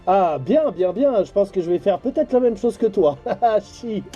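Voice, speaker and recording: A man's voice: high voice, animated, moderate pace, warm tone, lo-fi sound, soft background music. A middle-aged man from France chatting casually and colloquially as a hobby CB radio operator. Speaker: amateur CB radio operator and vlogger. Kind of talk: casual chat over CB radio.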